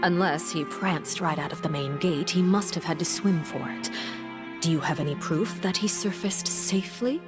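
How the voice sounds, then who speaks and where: Actress as cool, shrewd interrogator: fairly low female voice, measured game dialogue over music. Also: close to an everyday voice. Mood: cool, probing. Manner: measured, probing. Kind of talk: video game cutscene acting.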